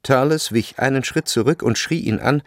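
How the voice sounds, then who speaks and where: A man reading literary narration for a radio play, with deliberate, dramatic phrasing. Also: medium pitch, no room sound, clean studio sound.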